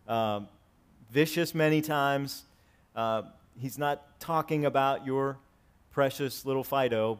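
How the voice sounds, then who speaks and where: A man in his forties preaching a sermon to his congregation, swinging between raised, emphatic lines and quiet, conversational asides. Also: mid-range voice. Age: 40s. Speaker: pastor. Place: church sanctuary with congregation.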